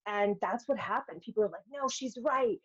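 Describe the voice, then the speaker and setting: A middle-aged woman speaks as a podcast guest, telling personal stories in a candid, conversational way. Her voice sits at a medium pitch and she voices quoted remarks as she talks. The recording is somewhat dull and lo-fi. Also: normal speed, slightly rough. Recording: dull, lo-fi sound.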